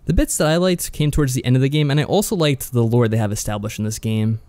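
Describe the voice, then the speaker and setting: A man co-hosting a podcast in a casual review chat, speaking in an agreeable, measured way. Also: mid-range voice, dark tone, no echo. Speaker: podcast co-host.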